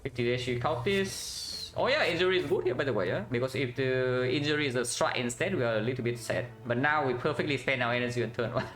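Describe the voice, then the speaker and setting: A man's voice: medium pitch, a little fast, hardly any pauses, a bit bright. A man with a Vietnamese accent streaming a card game, thinking aloud and chatting with viewers, often ending with "yeah?". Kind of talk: casual live-stream commentary.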